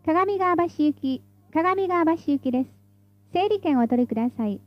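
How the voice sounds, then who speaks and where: A woman's voice: extremely high pitch, animated, moderate pace, no echo, muffled recording. A woman reading scripted tram announcements in a formal, polite, carefully enunciated way, as the stop announcer.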